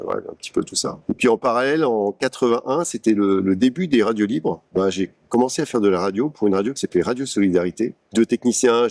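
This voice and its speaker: A man in his sixties from Paris, a company executive telling his career story in an interview, measured and pausing with many fillers. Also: lowish voice, gravelly, darkish tone, dry recording. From France (Paris). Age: 60s. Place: company showroom.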